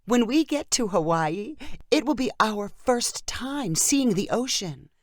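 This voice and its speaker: A woman voicing an excited, stubborn young bride in a storybook reading, with an eager and insistent manner. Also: on the high side, slow, no echo, studio quality, pitched above the performer's own voice. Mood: dreamy, eager. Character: excited, stubborn young bride set on her dream honeymoon.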